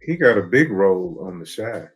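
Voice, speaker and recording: A man's voice: deep voice, very animated, normal speed, slightly rough. A man co-hosting a casual chat about a TV drama, laid-back, often restarting his sentences. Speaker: co-host of a TV discussion show. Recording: faint music behind.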